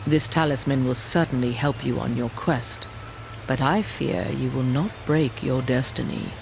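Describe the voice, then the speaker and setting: A woman's voice: rumbling low, unhurried, gravelly, exaggerated voice. A woman acting a cryptic old mystic in a video game, with noisy ambience behind her. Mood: cryptic, grateful.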